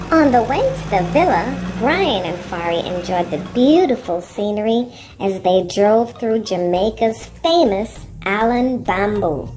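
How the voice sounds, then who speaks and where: A woman narrating a children's story in an expressive, deliberate, instructive way. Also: medium pitch. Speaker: storyteller and reading teacher for children. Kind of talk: animated children's read-aloud narration. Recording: music playing behind. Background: music.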